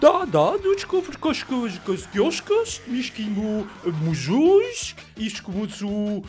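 A man's voice: sits high, very animated, a little mellow, soft background music, exaggerated voice. A man voicing a made-up foreign guest who babbles in invented Slavic-sounding words. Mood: babbling eager. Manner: sing-song gibberish, drawn-out, swooping.

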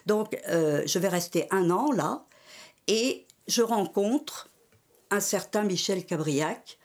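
An older French woman recalling her schooling and early adult life in an interview, telling it in a measured way in the present tense. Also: mid-range voice, animated, darkish tone. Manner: measured storytelling in the historic present. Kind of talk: oral history interview.

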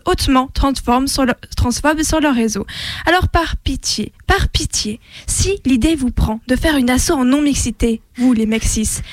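A woman with a high voice co-hosts a late-night radio show. She presents casually, and the studio sound is dry and close. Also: dry recording. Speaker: radio co-host.

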